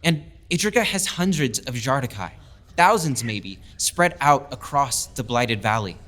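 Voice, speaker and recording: Audio drama lead: idealistic young lieutenant, oratorical and passionate, a proud outsider, medium-pitched. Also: male voice, lively intonation, unhurried, a bit bright. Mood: hopeful, urgent. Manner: impassioned, oratorical. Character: earnest young male military lieutenant, proud outsider pleading his cause. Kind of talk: full-cast audio drama speech.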